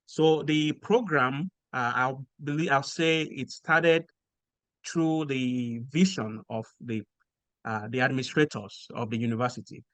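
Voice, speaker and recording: A man from Nigeria with a Nigerian accent, explaining things in a measured way with frequent pauses as a podcast guest. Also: medium pitch, fairly bright tone, no echo. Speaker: guest researcher. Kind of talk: podcast interview.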